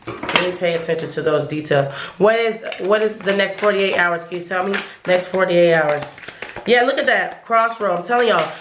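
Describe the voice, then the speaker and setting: A woman's voice: fairly low pitch, reverberant, background noise. A woman giving a casual tarot reading to viewers, losing her voice, who rambles and often restarts her sentences. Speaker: tarot reader.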